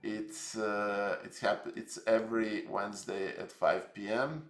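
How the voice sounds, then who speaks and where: A man's voice: lowish voice, fairly even intonation, measured pace, roomy sound, soft background music. A man from Serbia hosting a casual live stream, rambling and hesitant with lots of fillers and jokes. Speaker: streamer host.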